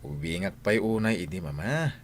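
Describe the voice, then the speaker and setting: An actor playing a reluctant, forgetful grown son in an Ilocano radio drama. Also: male voice, lowish voice, big pitch swings, moderate pace, gravelly, exaggerated voice. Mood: casual.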